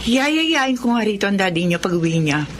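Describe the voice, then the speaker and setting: A woman's voice: medium pitch, sing-song, normal speed, slight background noise, close to an everyday voice. A middle-aged woman with a Filipino accent playing a long-suffering, worried wife and mother in a radio drama. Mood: relieved. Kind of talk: Tagalog radio drama acting.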